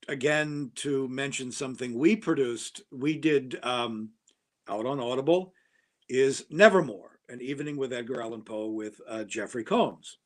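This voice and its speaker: A man, a guest on a podcast, talking casually and with conviction about producing audio drama, getting onto his soapbox. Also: mid-range voice. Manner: opinionated, holding forth on a pet topic.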